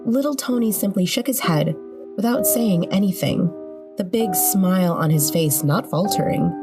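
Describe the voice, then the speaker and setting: A woman's voice: medium pitch, expressive, normal speed, over loud music. A woman reading scripted narration as the narrator of a horror podcast.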